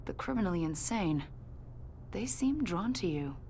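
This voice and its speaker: An actress playing a confident government agent in a video game, questioning in a pointed, insinuating and accusatory way. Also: female voice, lowish voice, expressive, moderate pace, warm tone, slight background noise. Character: probing female government agent, confident, accusatory, smoothly needling. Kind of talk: video game dialogue acting.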